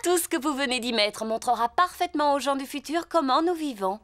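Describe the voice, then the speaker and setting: Casting: kindly cartoon gazelle teacher, proper and cheerful, high voice with lively swoops. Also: female voice, fairly quick, dry recording, clean studio sound. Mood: encouraging.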